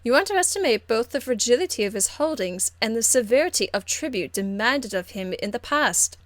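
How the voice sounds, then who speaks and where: A woman performs an aristocratic female mage in a fantasy video game. She speaks formally and with measured condescension, icily polite, in a fairly high voice with a close, dry sound. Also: expressive, dry recording, close to an everyday voice. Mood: cold condescension. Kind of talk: video game character acting.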